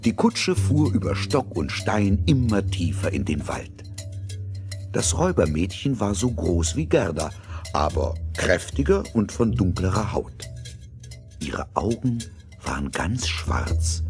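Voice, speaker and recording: A man narrates a fairy tale from a script. His voice is very low, yet it moves expressively, with faint music at times. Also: very animated, takes it slowly, vocal fry, a little mellow.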